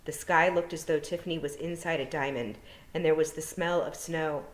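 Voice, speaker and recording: A woman's voice: low voice, fairly even intonation, moderate pace. A woman narrating a children's fantasy novel as a storytime reader. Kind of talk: storybook narration read aloud. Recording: roomy echo.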